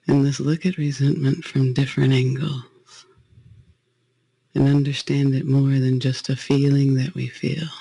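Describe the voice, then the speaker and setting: Male guided-meditation leader, gravelly and close in a dry space, gentle spiritual delivery. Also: medium pitch, little pitch movement, measured pace, dry recording, rough recording.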